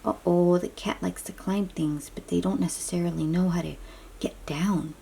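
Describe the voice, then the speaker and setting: A woman's voice: fairly low pitch, steady tone, moderate pace, creaky, dark tone, slightly rough. A woman reading a picture book aloud for young children, explaining in simple phrases and putting questions to the listener.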